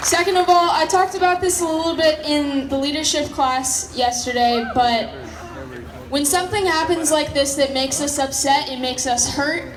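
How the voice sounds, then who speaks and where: High-voiced teen girl giving a rally speech. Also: normal speed. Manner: earnest, heartfelt, builds to shouting. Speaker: student speaker at a protest. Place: outdoor school protest crowd. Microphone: phone camera in the crowd. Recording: phone recording of a noisy echoey crowd.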